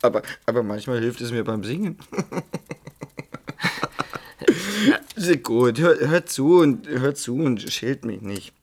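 A man's voice: mid-range voice, animated, normal speed, darkish tone. An actor playing an intoxicated singer in a radio play, stammering and repeating words as he confides giddily. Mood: giggly, agitated. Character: young intoxicated singer, giggly, confiding, enraptured storyteller. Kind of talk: German radio play acting.

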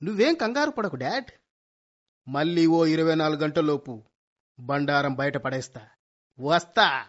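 A man's voice: a little high, animated, unhurried, long pauses. A man playing a boastful young amateur detective son in a Telugu comedy radio play, speaking in bombastic vows with English words thrown in. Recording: dull lo-fi radio play recording.

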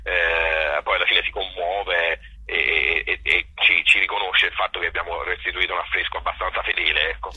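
Low, very sharp, husky Tuscan man. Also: little pitch movement. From provincial Tuscany, Italy. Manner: halting, repeats words while searching for phrasing. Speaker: interview guest, playwright and actor. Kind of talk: spontaneous phone interview talk. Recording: harsh telephone line on radio.

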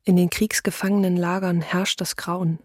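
A woman's voice: lowish voice, flat delivery, moderate pace, darkish tone, dry recording, clean studio sound, natural voice. A woman playing a grave, restrained diarist who bears witness to occupation in a documentary radio play.

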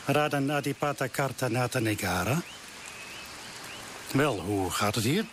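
A man's voice: medium pitch, expressive, a little slow, natural voice. An actor playing a blunt, scornful military man of thirty in a radio drama, with a forthright manner. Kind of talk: Dutch radio drama acting. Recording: noisy old radio play recording.

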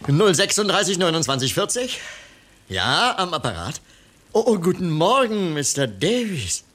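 High-pitched, excitable gullible gentleman. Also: normal speed, full character voice. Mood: elated. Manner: emotional, expressive. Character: excitable, gullible gentleman, easily elated, easily crushed. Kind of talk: German radio crime comedy acting. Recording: noisy vintage radio recording.

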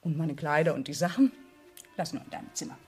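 A woman's voice: sits low, fast talker, faint music behind. An actress playing a wealthy middle-aged aunt in a German radio play, fussy and insistent, anxious about her standing and evasive. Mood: brisk, placating.

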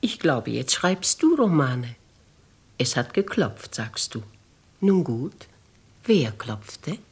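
Slow-spoken, husky old noblewoman. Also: very deep voice, very animated, heavily acted. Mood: ironic, skeptical. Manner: measured, gently ironic, genteel. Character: dreamy, amused elderly noblewoman novelist of seventy-three. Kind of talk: 1950s German radio drama acting.

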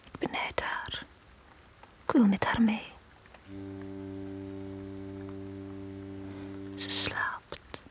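A lovesick, forlorn woman in a radio drama. Her voice is almost all breath, close to a whisper, and unfolds slowly and poetically. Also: mid-range voice, sing-song, breathy edge, exaggerated voice. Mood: longing, dreamy. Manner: slow, hushed, poetic delivery. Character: Tanja, forlorn, lovesick woman left on the quay. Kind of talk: 1980s radio drama, intimate monologue.

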